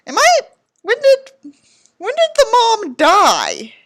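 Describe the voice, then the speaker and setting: High-pitched, sardonic woman commentator. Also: wildly swooping pitch, slow, fairly bright tone, faint music behind. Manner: incredulous, sardonic. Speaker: YouTube reader and commentator. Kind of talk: sarcastic asides on a book.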